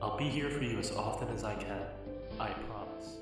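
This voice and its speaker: A man playing a gentle, earnest father in an audio drama, speaking in a soft, coaxing way. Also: fairly low pitch, moderate pace, loud music behind the voice, lightly acted. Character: gentle, earnest father pleading with his daughter.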